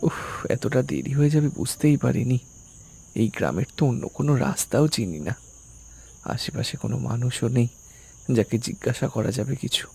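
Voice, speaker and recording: A man's voice: mid-range voice, animated, normal speed, vocal fry, dark tone, a little hiss or hum. A man voicing a rational young postmaster from the town who asks skeptical, probing questions. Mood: nervous.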